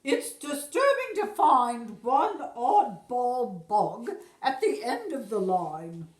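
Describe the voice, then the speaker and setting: A woman acts out an imperious insect queen from a children's storybook. Her voice is raised and loud, formal and full of displeasure, scolding in a measured way. The sound has a clear room echo. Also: fairly high pitch, sing-song. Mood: displeased. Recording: roomy echo.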